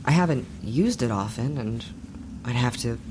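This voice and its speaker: A man's voice: mid-range voice, expressive, moderate pace, lightly acted. A man playing a tentative, helpful spellcaster in a fantasy audio drama, speaking hesitantly. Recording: noisy background ambience.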